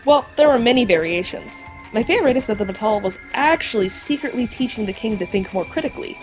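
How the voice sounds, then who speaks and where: A woman's voice: on the high side, animated, fast, faint music behind, lightly acted, rough recording. A woman playing an earnest, bookish lover of legends in an audio drama, precise and explanatory. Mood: explaining.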